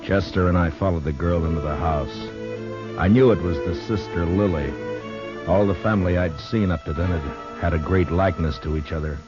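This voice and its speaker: An American man playing a grave, steady frontier marshal in a 1950s radio western, measured and laconic. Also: deep voice, close to an everyday voice. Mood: brooding. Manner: measured, laconic, plain-spoken. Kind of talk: 1950s American radio drama acting and first-person narration. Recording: dull 1950s radio recording with music.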